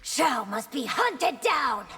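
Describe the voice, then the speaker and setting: A woman's voice: high voice, big pitch swings, takes it slowly, sharp tone, exaggerated voice. A woman voicing a proud, resolute empress in a video game, regal and commanding in manner. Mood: stern, resolute. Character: proud, resolute empress hiding a feral affliction. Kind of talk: video game character acting. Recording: long hall reverb, music behind.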